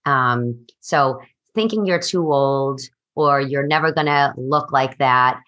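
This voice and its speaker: A woman's voice: extremely low pitch, takes it slowly, a bit bright, highs cut off. A woman in her forties, a fitness coach, speaking in an emphatic, encouraging way. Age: 40s. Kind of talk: motivational interview answers.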